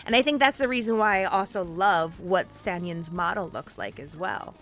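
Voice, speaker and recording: A woman's voice: fairly low pitch, lively intonation, moderate pace, fairly bright tone. A woman from Puerto Rico whose first language is Spanish, hosting a live interview in an online game with an upbeat, encouraging manner and lots of fillers. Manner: upbeat, encouraging, laughs often, many fillers. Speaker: interviewer, show host.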